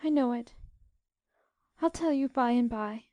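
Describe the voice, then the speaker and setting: A woman voicing a gentle, sorrowful eighteen-year-old girl in a storybook, with a soft, tearful quaver. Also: on the high side, unhurried, no echo, dull audio, voice pushed up, lightly acted. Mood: subdued, soothed.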